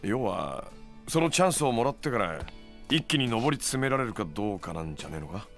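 An actor playing a laid-back, streetwise moneylender in a Japanese video game, talking in a casual, nonchalant way. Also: male voice, lowish voice, sing-song, a little fast, a bit bright, soft background music, heavily acted. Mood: casual, persuasive. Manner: casual, nonchalant, rough-edged.

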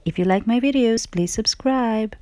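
A woman's voice: a little high, normal speed, mellow, dry recording, studio quality. A woman hosting and presenting a read-aloud storybook for children. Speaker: children's storybook reader and host.

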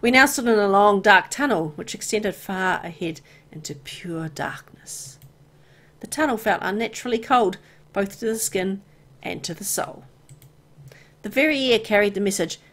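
A woman reading a horror visual novel aloud in an expressive, dramatic storytelling way. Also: mid-range voice, moderate pace. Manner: expressive, acting out dialogue. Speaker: gameplay video narrator. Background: music.

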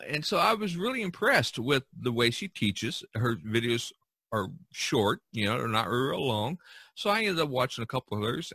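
A man hosting a casual podcast conversation, talking in a halting, conversational way. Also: fairly low pitch, pushed and bright, no echo. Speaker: podcast host.

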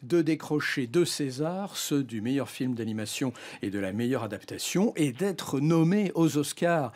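A middle-aged man delivers a scripted radio book review in a measured, articulate storytelling way, at a studio desk microphone. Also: medium pitch, animated, a little mellow. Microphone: broadcast desk microphone.

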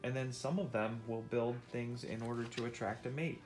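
Man, middle-aged, medium pitch, teacherly read-aloud with explanations and questions, music underneath. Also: steady tone, normal speed. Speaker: parent reading aloud and teaching. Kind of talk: interactive read-aloud of a nonfiction book. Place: indoors at home on a couch.

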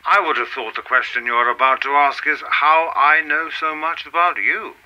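An actor playing a mysterious, coolly menacing official in a radio play, with a formal, measured, insinuating manner. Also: male voice, fairly high pitch, bright, forceful tone, lo-fi sound. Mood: knowing, condescending.

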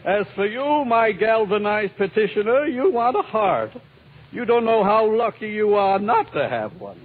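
A man's voice: high-pitched, expressive, normal speed. A man playing a kindly, pompous older humbug wizard in a radio drama, speaking grandly. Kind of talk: old-time radio drama acting. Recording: tinny, noisy old radio recording.

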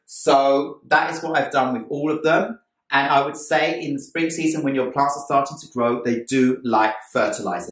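A man's voice: mid-range voice, fairly bright tone. Middle aged guy doing a chatty vlog explainer, roomy echo, mic a bit far off. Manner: chatty, repeats and rephrases points. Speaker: plant vlogger, amateur gardener. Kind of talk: casual explanatory talk to camera. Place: indoors, small bright room by a window. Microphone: camera a few steps away. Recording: echoey room, distant camera mic.

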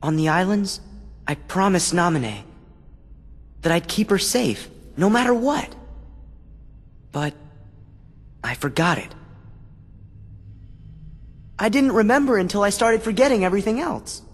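A man's voice: high voice, sing-song, measured pace, pauses often, exaggerated voice. An actor playing an earnest, determined teenage boy hero in a video game. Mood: resolute. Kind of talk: video game cutscene acting. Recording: long hall reverb.

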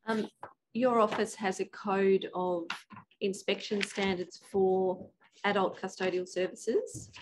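A woman questioning a witness formally as counsel, with deliberate, careful phrasing. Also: mid-range voice, steady tone, background noise. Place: indoor hearing room.

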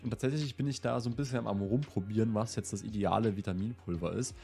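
A man in his twenties with a slight cold, hosting a relaxed question-and-answer vlog in a chatty, easygoing way. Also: lowish voice, quick pace, gravelly, faint music behind. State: slightly ill. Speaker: host. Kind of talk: casual Q&A vlog. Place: indoor room with plant wall and lit shelves.

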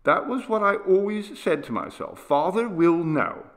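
A man's voice: high-pitched, sing-song, measured pace, pitched above the performer's own voice. A man voicing a frightened, sickly young boy who pleads tearfully with his father. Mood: pleading, tearful. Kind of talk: audiobook character voice.

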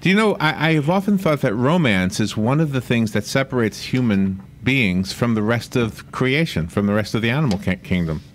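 A man's voice: mid-range voice, expressive, moderate pace, few pauses, a little hiss or hum. A man hosting a radio show, bantering casually and interviewing in a studio. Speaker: radio host.

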